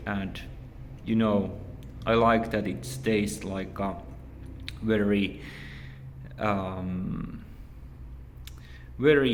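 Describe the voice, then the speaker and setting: A man from Finland, a native Finnish speaker with a Finnish accent, giving a casual, unscripted review in a hesitant, halting and repetitive way. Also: fairly low pitch. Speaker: video reviewer. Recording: echoey room with long reverb.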